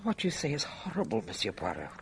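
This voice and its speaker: A woman's voice: a bass voice, huge pitch swings, fast talker, slightly rough, full character voice. An actress playing a resolute, dignified older Englishwoman in a radio drama, speaking with formal, grave composure. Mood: firm. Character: dignified older woman, resolute, guilt-burdened bridge player.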